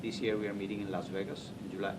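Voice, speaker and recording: A man from Assam, speaking natively, recalls his writing in a halting interview manner, often restarting words. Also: lowish voice, level tone. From Assam, India. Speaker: interviewee, author. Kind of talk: reminiscing oral history interview. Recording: distant mic, loud background noise.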